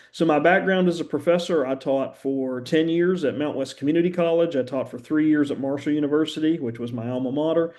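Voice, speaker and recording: Mellow-voiced middle-aged male professor. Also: medium pitch. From West Virginia, USA. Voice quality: occasional stammer. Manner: conversational and earnest, with frequent "uh" pauses. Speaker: university professor. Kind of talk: recorded introductory lecture.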